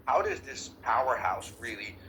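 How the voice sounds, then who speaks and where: A man hosts an online meeting in a bright, mid-pitched voice. He is effusive and quick to apologize as he introduces speakers. A faint noise sits under him. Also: expressive, rough recording. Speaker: meeting host.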